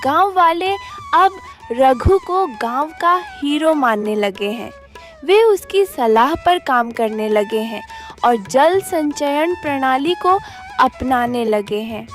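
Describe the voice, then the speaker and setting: A woman's voice: high voice, animated, slow pace, soft background music. A woman reading scripted narration for a cartoon moral story as its storyteller.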